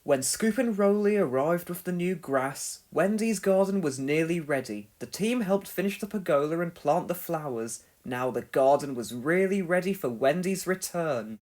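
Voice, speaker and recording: A narrator reading a children's read-along story in an expressive, clearly paced storytelling manner. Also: medium pitch, moderate pace, hardly any pauses.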